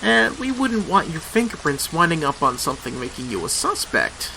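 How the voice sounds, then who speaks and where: An actor playing a blunt, sarcastic, foul-mouthed small-town police chief in a radio drama. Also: male voice, fairly high pitch, big pitch swings, normal speed, gravelly, pushed and bright, a little hiss or hum, heavily acted, rough recording. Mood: sardonic.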